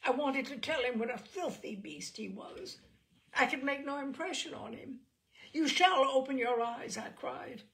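A woman's voice: high voice, unhurried, vocal fry, pitched above the performer's own voice, heavily acted. A woman performing a tormented, bitter wife making a confession in a dramatic, intense storytelling manner. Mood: furious. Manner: dramatic, intense, hushed then rising to cries.

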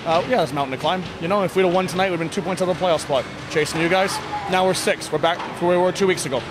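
Fast-talking man, higher-pitched, noisy venue. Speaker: ice hockey bench coach giving a post-game interview. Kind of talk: post-game interview, casual and candid. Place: indoor sports hall, blue block walls. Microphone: handheld interview microphone. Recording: noisy venue with background ambience.

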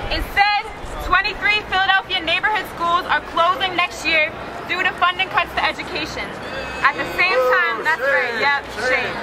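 A woman's voice: extremely high pitch, normal speed, loud music behind the voice, poor audio. A woman activist delivering a prepared political speech at a rally. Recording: outdoor crowd and vehicle noise.

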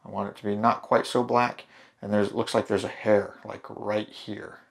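A man hosting a hands-on darkroom printing tutorial, talking through each step in a relaxed way with fillers and restarts. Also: on the low side, moderate pace, vocal fry. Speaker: photography channel host. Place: home darkroom in a laundry room.

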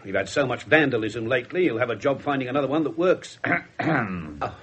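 A man playing a gruff, wry, irreverent English police inspector in a radio drama, blunt and husky. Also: mid-range voice, lively intonation, brisk, a bit bright. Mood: wry, grumbling. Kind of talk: 1970s radio drama acting.

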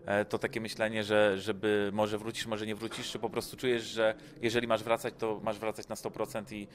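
Niski męski głos reportera sportowego. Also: male voice, fairly low pitch, flat delivery, brisk, a little hiss or hum. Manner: informal, hesitant questions with fillers. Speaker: interviewer. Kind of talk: casual sports interview questions.

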